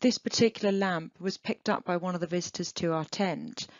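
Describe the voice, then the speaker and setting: Woman, archaeologist keynote, low voice, dull dry online recording, enthusiastic and measured. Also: dry recording. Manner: enthusiastic, measured, with occasional "um" and restarts. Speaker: archaeologist giving a keynote lecture. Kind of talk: illustrated conference talk over slides. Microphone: computer mic on a video call.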